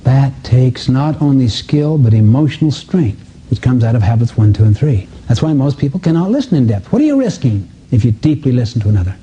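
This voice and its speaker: Male motivational speaker, husky and mellow, emphatic live-seminar delivery with pointed questions, lo-fi sound. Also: medium pitch, very animated, fairly quick, hardly any pauses. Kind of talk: live self-help seminar talk, teaching with acted-out dialogues. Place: seminar room before an audience. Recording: dull, muffled lo-fi audio.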